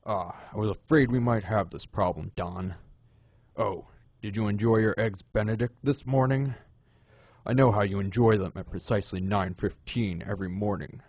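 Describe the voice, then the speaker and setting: A man playing a suave, menacing gentleman crime boss in an audio drama, unhurried and courtly in manner. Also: fairly low pitch, fairly even intonation, dry recording. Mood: coldly menacing.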